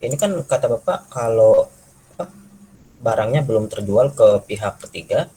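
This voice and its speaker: A man's voice: mid-range voice, moderate pace, dark tone, husky, low-quality recording. A young man asking questions as a student, deferential and thinking aloud. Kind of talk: hesitant classroom questioning.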